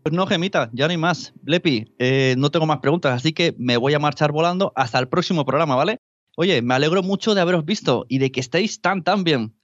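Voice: medium pitch, brisk, no room sound, lo-fi sound, close to an everyday voice. A performer playing a friendly flying superhero who presents listeners' questions casually and enthusiastically on a children's podcast. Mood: friendly, upbeat. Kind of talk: scripted children's podcast chat.

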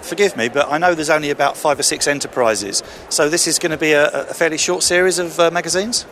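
A man reporting and interviewing fans at a convention in London, chatty and brisk, on a handheld mic. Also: a little high, a bit bright, a little hiss or hum. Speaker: roving reporter. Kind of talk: convention vox pops.

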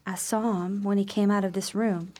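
A woman acting an unmarried, gossipy sister in an old-fashioned gothic radio drama, with a deliberate, formal and dramatic delivery. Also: medium pitch, steady tone, darkish tone, natural voice. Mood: ominous.

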